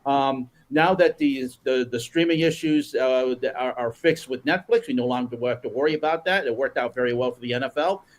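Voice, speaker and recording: A man's voice: mid-range voice, fairly quick, hardly any pauses. A man appearing as a guest on a sports podcast, talking eagerly with frequent stumbles and restarts. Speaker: guest commentator.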